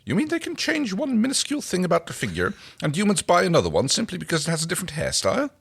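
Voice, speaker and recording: A man's voice: high-pitched, huge pitch swings, rapid-fire, vocal fry, slightly rough, pitched above the performer's own voice, full character voice. A man voicing a blunt, incredulous alien woman with emphatic questioning in a story reading.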